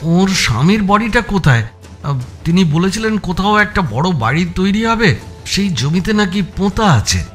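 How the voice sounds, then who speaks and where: A man playing a curious first-person narrator in a thriller audiobook, telling it with expressive suspense. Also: sits high, normal speed, soft background music. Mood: inquisitive.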